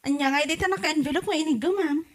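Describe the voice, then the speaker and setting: A woman's voice: high voice, quick pace, close to an everyday voice. A woman playing a gossipy, helpful neighbourhood busybody in an audio comedy drama, with an Ilocano Filipino accent. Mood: nosy, helpful.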